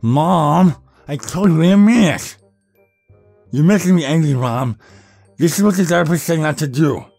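A man's voice: fairly high pitch, moderate pace, vocal fry, pauses often, a little mellow, no echo, faint music behind, pitched above the performer's own voice. Nerdy young vampire played by a man: whiny, pedantic know-it-all, talking down to everyone in a goofy roleplay. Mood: whiny, exasperated. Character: nerdy, dorky young male vampire, petulant Star Wars know-it-all. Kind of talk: goofy character roleplay monologue.

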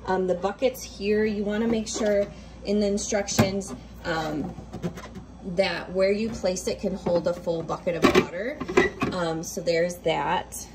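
A woman's voice: medium pitch, a little slow, very noisy. A woman giving a casual, upbeat product review on a phone, with lots of ums while she talks at home. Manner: chatty, upbeat, frequent ums. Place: living room, indoors. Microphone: phone held over the device.

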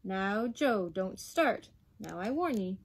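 Woman reader as a weary, husky working-class mother. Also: medium pitch, animated, slow pace. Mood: exasperated. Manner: plain dialect speech with thee and thy. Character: weary, exasperated working-class mother, firm but patient.

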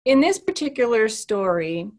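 A woman's voice: fairly high pitch, very animated, moderate pace, a little mellow. A woman in her thirties, an author speaking earnestly about her novel on a video call from a room with bookshelves. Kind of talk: author talk on a panel.

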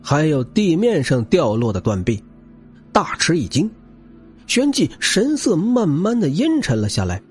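A man's voice: a little high, wildly swooping pitch, measured pace, darkish tone, husky. A man narrating an audiobook novel in a dramatic, scripted storytelling style, with music behind him. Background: background music.

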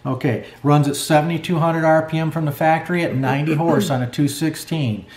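A man's voice: on the high side, steady tone, brisk, darkish tone. A middle-aged man talking through a clutch rebuild at his workbench in a plainspoken way, with lots of fillers. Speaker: snowmobile clutch mechanic. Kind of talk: hands-on workshop tutorial. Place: garage workbench. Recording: echoey workshop.

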